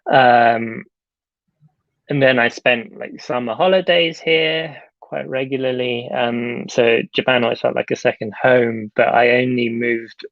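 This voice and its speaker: A man's voice: mid-range voice, steady tone, measured pace, creaky, no room sound, dull audio. A young man, a film director, answering interview questions in a casual, hesitant way, with lots of fillers and restarts, over a video call.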